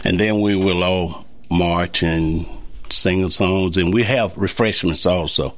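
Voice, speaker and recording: Deep-voiced man speaking plainly. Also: steady tone, no echo. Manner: plain, unhurried, halting. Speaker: civic group president, guest.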